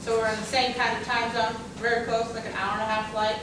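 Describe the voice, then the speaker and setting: A woman's voice: on the high side, little pitch movement, fast. A young woman from Russia whose first language is Russian, chatting on a panel about her own story with many fillers and asides. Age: young adult. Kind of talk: casual personal story on a panel. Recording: echoey room, far from mic, background noise.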